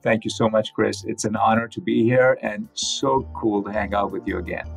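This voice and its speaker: A man's voice: on the low side, fairly even intonation, moderate pace, gravelly, faint music behind. A man speaking as a physician guest in an interview, explaining technical research with enthusiasm.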